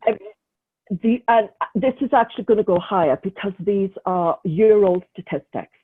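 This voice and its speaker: A woman's voice: mid-range voice, normal speed, breathy edge. A middle-aged woman, a retired nurse, speaking earnestly and emphatically as an interview guest, often repeating words for stress. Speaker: guest, retired nurse and mental health advocate. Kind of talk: casual interview talk. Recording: tinny phone-like remote call audio.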